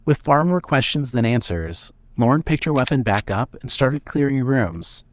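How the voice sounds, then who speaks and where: A man's voice: mid-range voice, expressive, normal speed, slight background noise. A man narrating scripted suspense fiction as the storyteller of an audio drama.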